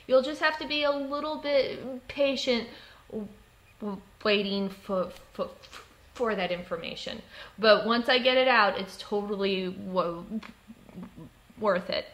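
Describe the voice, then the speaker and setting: A woman who stutters, with blocks and repeated sounds, giving an explanatory advice talk in a composed, frank and deliberate way. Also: fairly high pitch, bright, forceful tone, low-quality recording. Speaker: presenter giving workplace advice. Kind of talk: explanatory talk with personal examples.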